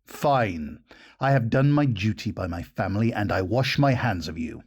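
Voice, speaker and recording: A man acts out a haughty aristocrat who has taken offense, for an audio drama. His voice sits a little low, and his delivery is pompous, cold and indignant. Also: lively intonation, normal speed, slightly rough.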